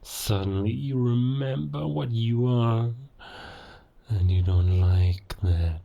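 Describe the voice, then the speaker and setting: Man acting a gravelly, menacing werewolf villain. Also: medium pitch, highs cut off. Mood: menacing, taunting. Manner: deliberate, theatrical, philosophical villain monologue with dramatic pauses. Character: menacing ancient male werewolf, cold, scornful of humankind. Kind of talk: cinematic audio roleplay monologue.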